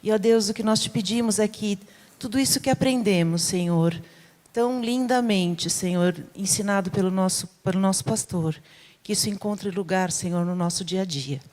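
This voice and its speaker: A woman's voice: medium pitch, moderate pace, a little mellow. Brazilian woman praying in a reverberant hall. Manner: devout, often addressing God by title. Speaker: congregant leading prayer. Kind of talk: spoken extempore prayer. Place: large church room. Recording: reverberant hall with long echo.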